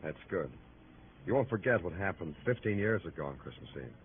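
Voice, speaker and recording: An actor playing a hard-boiled police lieutenant who narrates an old radio drama, dry and sardonic. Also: male voice, deep voice, big pitch swings, fairly quick, slight background noise. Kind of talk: 1940s radio acting. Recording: tinny old radio with music.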